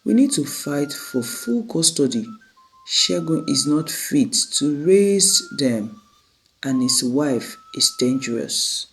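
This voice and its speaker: A storyteller voicing a determined, protective aunt in a story, earnest and deliberate, in short phrases. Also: mid-range voice, sing-song, slight background noise, low-quality recording. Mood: urgent, resolute.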